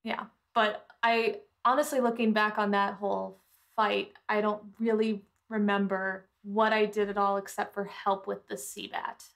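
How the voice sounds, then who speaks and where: A woman's voice: medium pitch, level tone, a little slow, gravelly, a bit bright. A woman playing a tabletop role-playing game, answering in casual in-game conversation.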